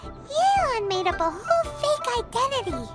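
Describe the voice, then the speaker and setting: Squeaky, excitable little fairy companion. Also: female voice, unhurried, loud music behind the voice, heavily acted. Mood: excited. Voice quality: squeaky, childlike. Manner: expressive, exclamatory. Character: small childlike fairy companion, excitable and chatty. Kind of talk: video game voice acting.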